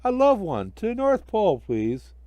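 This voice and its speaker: A naive storybook snowman acted out by a man, eager and innocent, with a raised pitch that bounces around freely. He sounds cheerful and childlike, in a homemade children's reading. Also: huge pitch swings, moderate pace, dry recording, highs cut off.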